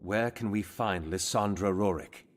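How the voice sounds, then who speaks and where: An actor playing a steady investigator in a fantasy video game, measured, earnest and authoritative. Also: male voice, on the low side, lightly acted. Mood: businesslike. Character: steady, commanding male investigator in fantasy city. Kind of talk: dramatic video game voice acting.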